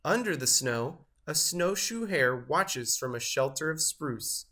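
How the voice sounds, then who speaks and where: A man reading a children's picture book aloud, with expressive delivery and measured, careful phrasing. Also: fairly high pitch. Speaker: storybook reader.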